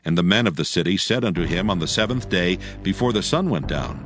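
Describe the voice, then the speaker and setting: A man narrating scripture dramatically in archaic English, solemn and measured. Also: sits low, expressive, a bit bright, soft background music.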